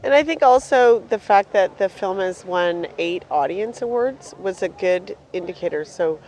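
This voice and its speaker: A woman's voice: mid-range voice, animated, moderate pace, darkish tone, a little hiss or hum, rough recording. A woman producer, giving matter-of-fact answers in an interview. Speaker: film producer.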